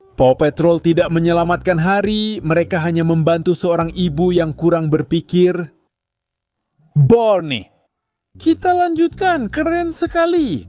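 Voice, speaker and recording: Seorang pria dengan suara tinggi dan sangat naik-turun membawakan komentar komedi yang sinis dan jengkel. Ia mengejek dengan nada dramatis dan kadang melantunkan kalimatnya seperti bernyanyi. Musik latar terdengar samar di belakang suaranya. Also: male voice, sits high, a little mellow. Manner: sarcastic and exasperated, mocking tone. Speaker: commentary narrator. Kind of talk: comedic commentary voice-over.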